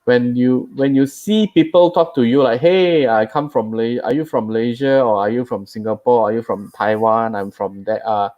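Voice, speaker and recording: A man co-hosting a casual chat, talking haltingly with repeated words as he gives advice. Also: medium pitch, fairly even intonation, a little mellow, lo-fi sound.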